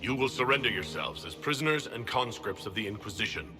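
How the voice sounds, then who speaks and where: A man's voice: lowish voice, lively intonation, brisk, natural voice. Stern, commanding man over music game acting. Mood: stern urgency. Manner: firm, formal, commanding. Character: stern, unyielding male leader of an inquisition. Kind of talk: video game cutscene acting.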